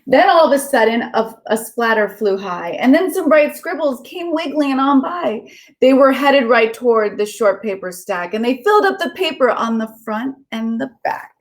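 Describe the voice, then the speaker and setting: A woman children's author chatting casually and reading aloud to children, with an excitable manner. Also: fairly high pitch, moderate pace. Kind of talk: casual chat and animated read-aloud for children.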